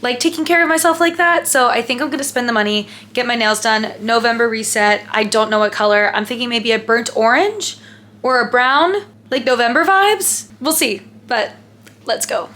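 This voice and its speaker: A young woman in her twenties talks casually to her camera in a lifestyle vlog. Her voice sits a little high and sounds crisp and bright. She is upbeat and friendly, and she chats loosely with plenty of "like" and "um". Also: normal speed. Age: 20s. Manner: chatty, filler-heavy with frequent "like" and "um". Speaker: lifestyle vlogger.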